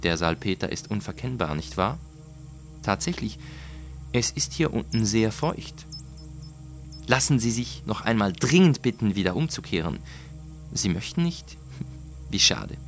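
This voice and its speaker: Guy doing a calm mocking villain, low voice, fake polite and menacing, gothic audiobook with music. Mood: mock courtesy. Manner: mock-courteous, deliberate. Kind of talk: dramatic audiobook character voice.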